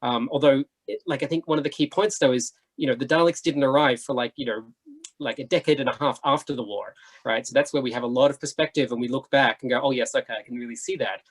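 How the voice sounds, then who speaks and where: A man's voice: medium pitch, fast talker. A man speaking as a writer on a discussion panel, chatty and thinking aloud with frequent asides.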